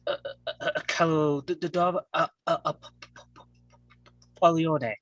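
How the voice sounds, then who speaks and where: A man playing a young mafia son in a live script read, stammering heavily and repeating syllables in a husky voice. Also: on the high side, steady tone, moderate pace, fairly bright tone, dry recording, muffled recording. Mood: halting. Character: Michael, young mafia son giving halting orders.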